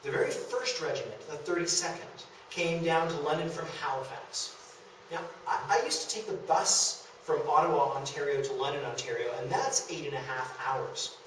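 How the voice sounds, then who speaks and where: Echoey, higher-pitched young man lecturing. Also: moderate pace, fairly bright tone. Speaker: presenter of a local history talk. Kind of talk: prepared public lecture. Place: indoor meeting room in a historic house. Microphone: distant camera microphone. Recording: echoey room from far off, faint noise.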